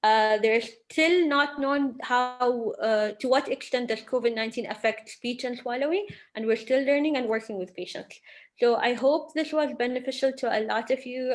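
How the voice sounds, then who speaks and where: A woman speech language pathologist giving an earnest webinar talk, with frequent fillers and restarts. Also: fairly high pitch. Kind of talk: webinar presentation. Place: online webinar from home or office.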